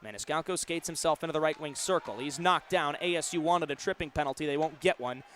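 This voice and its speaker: A man's voice: a little high, quick pace, a bit bright. A man in his twenties doing live radio play-by-play of a hockey game in clipped, vivid running narration. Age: 20s. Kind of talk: live radio sports commentary.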